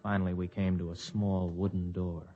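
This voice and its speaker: An actor playing a world-weary hero, narrating in measured, reflective first person for an old radio drama. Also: male voice, sits low, level tone, close to an everyday voice. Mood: reflective, tense. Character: world-weary science fiction hero narrating his ordeal. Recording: muffled vintage radio recording with music.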